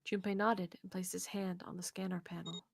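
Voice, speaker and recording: Creaky-voiced woman narrating a visual novel. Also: lowish voice, little pitch movement, fairly quick, slight background noise.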